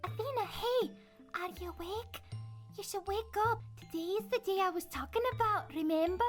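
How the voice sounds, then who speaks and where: A woman voicing a plucky, bubbly young female godling who talks in an eager, excitable way. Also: very high-pitched, normal speed, faint music behind, voice pushed up. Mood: whispering.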